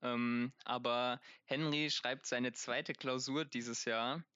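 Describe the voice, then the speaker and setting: Male co-host, slow delivery, sharp bright tone, giggles, hesitant fillers. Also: medium pitch, steady tone, clear, resonant tone, dry recording, muffled recording. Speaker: podcast co-host. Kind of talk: casual podcast chat.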